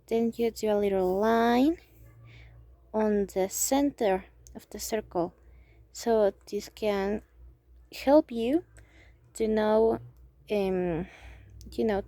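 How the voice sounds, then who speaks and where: A woman's voice: medium pitch, no echo. A young woman from Mexico with a Mexican Spanish accent, English her second language, talking casually and hesitantly through a drawing tutorial, sometimes dropping to a near whisper. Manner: hesitant, halting, thinking aloud; soft-spoken, drops to near whisper. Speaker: amateur artist.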